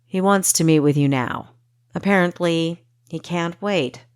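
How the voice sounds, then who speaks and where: A woman's voice: on the low side, unhurried, smooth, no room sound, clean studio sound, lightly acted. A woman voicing a playful, wry young man who likes to tease, in an audiobook. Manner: wry, teasing. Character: teasing, smirking young man, playful escort. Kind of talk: audiobook character dialogue.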